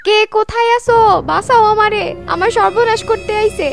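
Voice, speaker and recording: A woman's voice: very high voice, fairly even intonation, fairly quick, gravelly, music in the background. A woman voicing a feisty, suspicious young village woman with a rural Bangladeshi dialect in a comic cartoon. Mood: alarmed, indignant.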